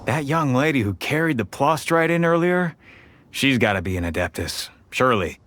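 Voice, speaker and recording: Actor as animated male construction worker. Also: medium pitch, moderate pace, slight background noise, natural voice. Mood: musing. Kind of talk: video game voice acting.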